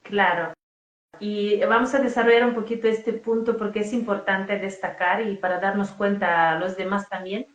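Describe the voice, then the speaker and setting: Host: woman from northwest Spain, gushing and enthusiastic, mid pitch, roomy echo over a video call. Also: steady tone, normal speed. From Galicia, Spain. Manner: effusive, enthusiastic, spiritual talk. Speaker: host, coach. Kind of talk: casual interview conversation. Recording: echoey room.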